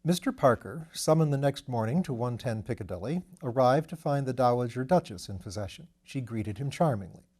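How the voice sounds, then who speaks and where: A man's voice: mid-range voice, expressive, brisk, hardly any pauses, a little mellow. A man reading a novel aloud as scripted literary narration. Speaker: audiobook reader.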